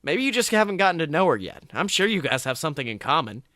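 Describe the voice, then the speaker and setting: A man's voice: a little high, very animated, quick pace, bright, forceful tone, no room sound, studio quality. A man voicing an upbeat, friendly young man in a story, eager and persuasive. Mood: coaxing.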